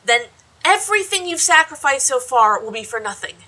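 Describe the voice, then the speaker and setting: High, bright, plucky young adventurer. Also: female voice, very animated, fairly quick, exaggerated voice, poor audio. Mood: practical. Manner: blunt, colloquial, encouraging. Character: plucky, impatient, practical young woman adventurer. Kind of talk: full-cast fantasy audio drama.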